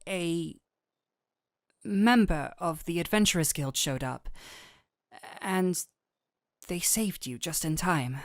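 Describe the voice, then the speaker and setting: Casting: actress as caring fantasy healer woman for roleplay audio; lowish voice, soothing yet firm, very close dry sound. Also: no room sound. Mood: gentle concern. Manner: soft, soothing, unhurried, earnest. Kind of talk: intimate scripted roleplay audio addressed to the listener.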